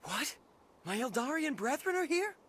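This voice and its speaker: A man playing an earnest, emotionally fragile young male alien in a video game cutscene, speaking in a halting, heartfelt way. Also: very high voice, sing-song, fairly bright tone, exaggerated voice. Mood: stunned hope. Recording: game music behind the voice.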